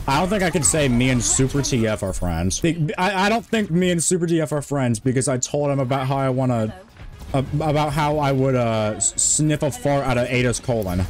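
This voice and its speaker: A man in his twenties streaming a game, talking in a rambling, monotone way with many restarts. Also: mid-range voice. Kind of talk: casual stream chat.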